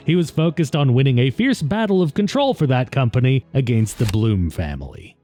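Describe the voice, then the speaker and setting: A man's voice: mid-range voice, warm tone. A man reading scripted documentary narration as the narrator of a video essay.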